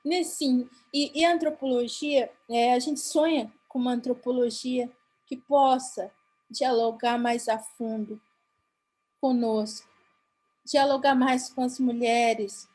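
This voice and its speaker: A Brazilian-accented woman whose first language is Guarani, speaking over a video call as a conference keynote speaker. Her voice sits a little high, and she talks slowly and earnestly. She often stops and restarts mid-phrase. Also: fairly even intonation, a little mellow, low-quality recording. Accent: Brazilian Portuguese. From Mato Grosso do Sul, Brazil. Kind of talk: formal conference talk.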